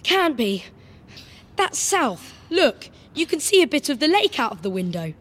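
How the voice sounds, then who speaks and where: A man's voice: extremely high pitch, very animated, unhurried, fairly bright tone, pitched above the performer's own voice, exaggerated voice. A man voicing an anxious, incredulous teenage schoolboy in an exasperated tone.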